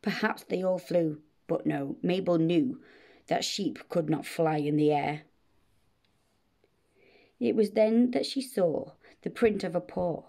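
A woman narrates a rhyming children's story. She takes it slowly and expressively, measuring out each phrase. Her tone is mellow, with a noticeable creak in it. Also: fairly low pitch. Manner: measured, expressive bedtime reading. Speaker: storybook reader.

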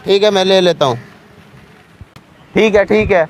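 A man's voice: sits high, unhurried. A young Pakistani-accented man in his twenties pestering someone as a prankster, insistent and repetitive in his demands. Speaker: prankster in a street prank video. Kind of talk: staged confrontational prank chat. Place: public park, outdoors. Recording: noisy outdoor park recording, clicks.

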